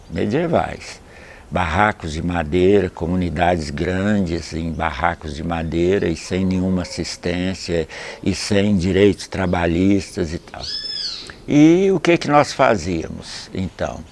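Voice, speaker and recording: An older man speaks in a fairly low voice, in a measured and explanatory way. He often closes a thought with a short questioning tag. There is a faint hum of ambient noise behind him. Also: creaky. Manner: measured, explanatory, with frequent "né?" tags. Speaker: capoeira master. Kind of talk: reflective documentary interview.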